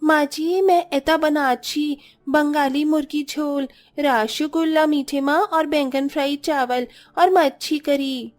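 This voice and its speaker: Woman doing a high, sweet Bengali bride. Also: fairly even intonation, takes it slowly, soft background music. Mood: eager, sweet.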